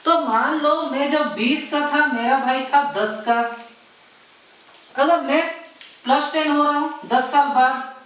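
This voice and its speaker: A man's voice: extremely high pitch, fairly even intonation, takes it slowly, pauses often, a bit bright. A man teaching an exam-prep math lesson, repeating himself, asking rhetorical questions and mixing in English math terms. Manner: repetitive, keeps addressing viewers as friends; mixes English math terms into his talk. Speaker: math tutor. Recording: very echoey room, voice far from the mic.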